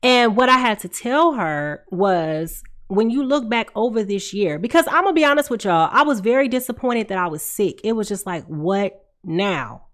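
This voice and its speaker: A woman's voice: medium pitch, animated, moderate pace. A woman in her thirties with a congested, stuffy voice, hosting a tarot livestream in a casual, confiding way, calling out to her viewers from a room at home. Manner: chatty, confiding, calls out to viewers. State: congested from a cold. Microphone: desk microphone in front of her.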